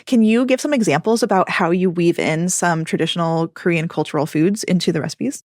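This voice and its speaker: A woman's voice: lowish voice, brisk, a little mellow, dry recording, clean studio sound. A woman hosting a nutrition podcast, asking her guest questions in a curious, friendly way. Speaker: podcast host, dietitian. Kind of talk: interview questions, casual chat.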